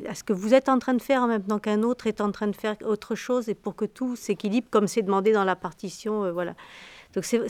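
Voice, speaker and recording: A woman with a smooth, resonant voice at medium pitch explains her music as its composer. Her talk is spontaneous, and the sound is dry and close. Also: darkish tone, dry recording. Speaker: composer answering a young musician.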